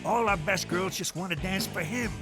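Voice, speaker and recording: A man voicing a dim, deadpan wisecracking club partner with a gravelly voice. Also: high-pitched, normal speed, music in the background, natural voice.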